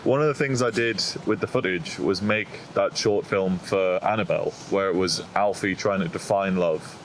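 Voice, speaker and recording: A man interviewing a friend, asking casual questions in a relaxed, friendly way. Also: low voice, normal speed, a little hiss or hum, low-quality recording. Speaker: interviewer and filmmaker.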